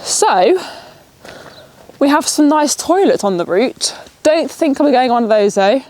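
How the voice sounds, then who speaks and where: A young woman with a Nottingham accent, sniffly and a bit nasal, chatting excitedly as a travel vlogger while out walking. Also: sits high, measured pace. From Nottingham, England. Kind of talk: chatty walk-and-talk vlog. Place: outdoors on a countryside walk, rain.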